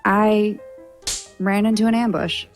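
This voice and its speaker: An actress playing a nervous, earnest young novice sword student in an audio drama, speaking hesitantly. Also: female voice, mid-range voice, level tone, measured pace, soft background music, natural voice. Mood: subdued.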